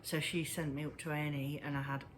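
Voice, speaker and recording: Woman, breathless and wheezy, halting casual chat, home camera mic, faint hiss. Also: sits low, flat delivery. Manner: halting, pauses often. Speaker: knitting vlogger. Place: at home indoors.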